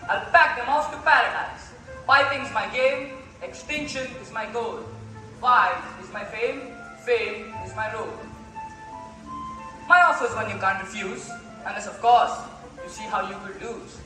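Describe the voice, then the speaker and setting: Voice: mid-range voice, lightly acted. A young student actor playing a courteous, boastful stranger who offers his services in rhymed stage verse. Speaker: student actor in school play. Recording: echoey school hall from far, music behind.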